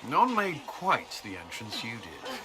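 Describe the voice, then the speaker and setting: A man playing an earnest, dutiful military commander in a fantasy video game, speaking in a formal, measured way. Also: medium pitch, wildly swooping pitch, low-quality recording. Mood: earnest, grave. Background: music.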